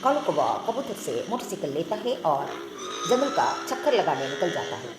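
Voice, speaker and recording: A woman's voice: on the low side, very animated, normal speed, reverberant, loud music behind the voice. A woman reading scripted narration for a children's-style cartoon story.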